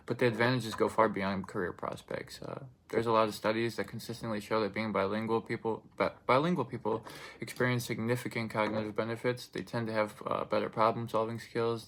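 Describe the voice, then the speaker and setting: A man's voice: fairly low pitch, a bit bright, poor audio. A young man whose first language is Russian, giving an informative speech in a loose, rambling way full of fillers and restarts. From Russian-speaking country. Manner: loose, filler-heavy delivery with many restarts.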